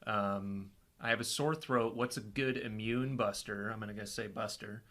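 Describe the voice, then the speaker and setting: A man's voice: fairly low pitch, fairly even intonation, moderate pace, a bit bright. A man co-hosting a casual live question-and-answer chat, reading viewer questions aloud in an easygoing, playful way.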